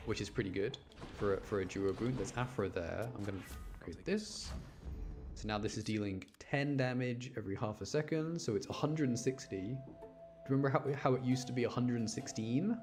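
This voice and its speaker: A man's voice: medium pitch, normal speed. A man with a British accent giving casual, chatty live gameplay commentary, thinking aloud as he plays. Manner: chatty, informal, thinks aloud while playing. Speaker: game streamer. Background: music behind the voice.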